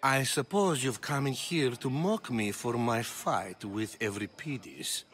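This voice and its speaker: An actor playing a vain, disdainful intellectual in a video game, speaking in a pompous, arch manner. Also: male voice, mid-range voice, takes it slowly, fairly bright tone. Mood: haughty. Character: vain, witty, disdainful male Athenian intellectual. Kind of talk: video game cutscene acting.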